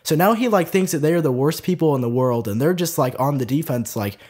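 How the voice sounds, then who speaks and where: A young man with a stuffy, congested voice hosts a casual tech news vlog. He sits a bit high for a man, and his pitch rises and falls a lot as he chats along with loose fillers. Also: brisk, slightly rough. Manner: chatty, lively, lots of "like" fillers. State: stuffy nose from a cold. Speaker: tech video host.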